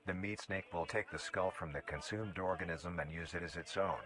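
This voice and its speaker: A man reading scripted documentary-style narration in a formal, measured, explanatory manner. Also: sits low, fairly bright tone. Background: background music.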